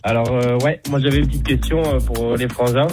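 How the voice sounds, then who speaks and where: A man's voice: mid-range voice, fairly even intonation, fairly quick, darkish tone, soft background music. A man calling in to a radio show as a listener, speaking casually. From France. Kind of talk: casual chat.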